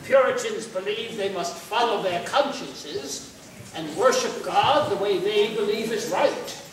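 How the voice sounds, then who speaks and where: A man plays a devout Puritan puppet, earnest and high-pitched, declaiming to an audience. He sounds distant, in a very echoey room. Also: a little slow. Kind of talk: puppet show acting. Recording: echoey room, distant mic, faint noise.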